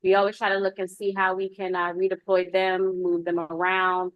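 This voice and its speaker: A woman's voice: on the low side, completely flat delivery, moderate pace, no echo, lo-fi sound. A woman from the United States, a police lieutenant, giving an informal spoken report at a public meeting.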